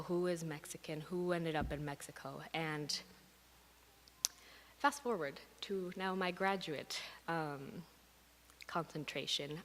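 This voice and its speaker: A woman's voice: fairly low pitch, a little hiss or hum. A woman speaking as a graduate student panelist, reflecting to an audience with searching fillers and restarts. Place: museum event hall. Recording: long reverb of a hall.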